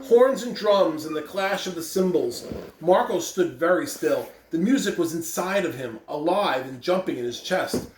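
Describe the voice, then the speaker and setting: School principal, American man, upbeat and emphatic, animated intonation, echoey distant pickup. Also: fairly high pitch, normal speed. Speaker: school principal reading announcements. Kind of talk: morning announcements and children's read-aloud. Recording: roomy, distant mic with background noise.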